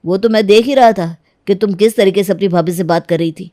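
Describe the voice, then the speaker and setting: A woman's voice: medium pitch, a little fast, dark tone, no room sound, clean studio sound, lightly acted. A woman as a stern, reproachful young husband. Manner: reproachful, firm. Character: stern, disillusioned young husband and protective son. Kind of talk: Hindi animated moral-story dramatization.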